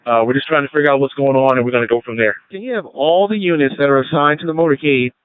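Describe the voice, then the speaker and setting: A man's voice: medium pitch, fast talker, clear, resonant tone, phone-quality sound. An American male police officer giving plain-spoken instructions to units over a police radio. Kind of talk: operational radio dispatch talk. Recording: band-limited police radio transmission.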